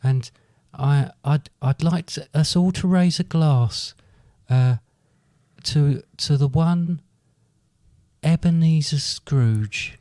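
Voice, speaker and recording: A man's voice: on the high side, slow, dark tone, dry recording, studio quality. A man playing a humble, grateful, emotional family man in a comic amateur pantomime, speaking hesitantly. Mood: earnest, grateful. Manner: hesitant, stammering.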